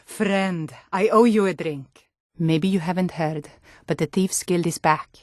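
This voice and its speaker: A woman's voice: fairly low pitch, a little slow, a little mellow, no room sound, studio quality, lightly acted. A woman playing an earnest, hardy Nord townswoman and guard, delivering short, weighty lines for a fantasy video game. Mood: wary.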